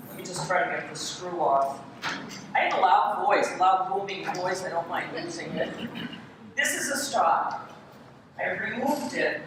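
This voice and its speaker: A presenter explains parts one by one in a live hands-on demonstration. The voice sits at a middling pitch and rings heavily around a reverberant hall, with clear noise in the recording. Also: expressive, measured pace. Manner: explanatory, defining each part as it is shown. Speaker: presenter, window restorer. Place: large echoey room in a city hall. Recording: echoey hall, distant, noisy live event recording.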